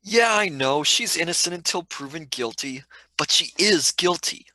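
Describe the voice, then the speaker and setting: A man plays a flippant young sidekick in a radio play. His voice is bright and sharp, recorded dry. He argues in colloquial wisecracks. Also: a little high, expressive, moderate pace, no room sound. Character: flippant, cheeky, argumentative young companion. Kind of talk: radio play script reading.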